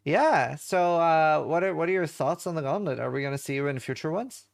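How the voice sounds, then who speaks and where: A man's voice: fairly high pitch, brisk, creaky, no echo, studio quality. A man hosting a casual post-show interview, asking upbeat questions with many fillers. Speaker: show host.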